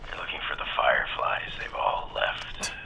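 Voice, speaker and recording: An actor voicing a dying, bitter male survivor who speaks in a rasping whisper. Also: moderate pace, bright, forceful tone.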